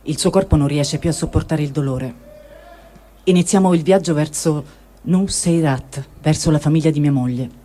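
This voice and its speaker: A man reading a first-person war diary aloud in a calm, unhurried way. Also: a little high. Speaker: reader.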